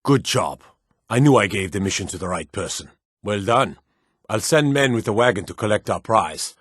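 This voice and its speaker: Deep male voice, slightly husky, stern fantasy war commander, brisk, gruff, video game dialogue. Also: expressive, a bit bright, dry recording, studio quality. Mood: approving. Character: stern battle-hardened male military officer in a fantasy war. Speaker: military commander giving orders.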